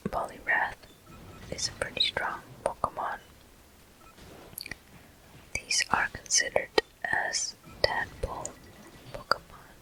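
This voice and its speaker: Slow, breathy ASMR whisper, gaming commentary. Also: rough recording. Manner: soft, unhurried, gently narrating each step. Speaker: gaming video host.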